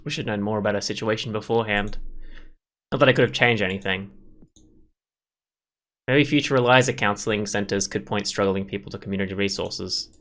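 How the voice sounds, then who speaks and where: A man's voice: lowish voice, a little fast, pauses often, fairly bright tone. A man hosting a gameplay video, reading visual novel text aloud and adding rambling, casual commentary.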